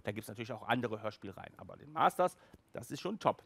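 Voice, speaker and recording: A man's voice: fairly low pitch, lively intonation, fast, gravelly, a bit bright, breathy edge, no echo. A middle-aged man hosting a collector show, chatting casually and enthusiastically in colloquial fan talk into a headset mic. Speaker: host of a collector video show. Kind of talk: casual monologue to camera about nostalgic collectibles. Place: small room with shelves, indoors.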